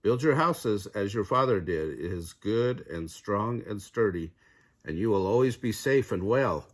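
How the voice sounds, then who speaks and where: A man narrating a children's fairy tale aloud in an expressive storytelling style. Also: fairly low pitch, unhurried. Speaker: storybook reader.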